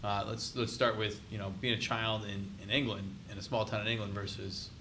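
A man's voice: fairly low pitch, level tone, a little fast, noisy background. A man co-hosting an interview, feeling his way through a loosely worded question with "you know" and "I mean". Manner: searching, loosely phrased questions. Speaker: co-host interviewer.

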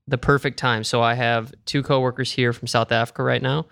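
Gravelly, smooth-voiced podcast co-host. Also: male voice, mid-range voice, level tone, fairly quick, fairly bright tone, dry recording, studio quality. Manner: rambling anecdotes, jokey. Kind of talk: casual chat.

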